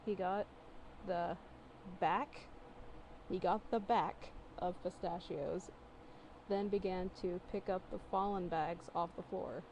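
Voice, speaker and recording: A woman's voice: lowish voice, fairly even intonation, slow pace, a little mellow. Giggly woman reading fiction, noisy recording. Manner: giggly, incredulous, breaks off to comment. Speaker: host reading fan fiction aloud. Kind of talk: casual dramatic reading with mocking asides.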